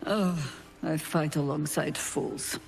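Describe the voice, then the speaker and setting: An actress playing a stern, world-weary older female druid in a video game, with a dry, measured, sardonic manner. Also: low voice, steady tone, vocal fry, slight background noise, full character voice. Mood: stern, exasperated. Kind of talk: theatrical video game dialogue.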